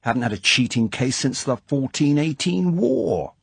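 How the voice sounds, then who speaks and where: A man's voice: medium pitch, big pitch swings, normal speed, vocal fry, husky, dry recording, voice pushed up, full character voice. A man voicing an indignant older club chairman who bursts out in angry, worried exclamations. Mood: furious. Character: blustering older male club chairman, easily angered.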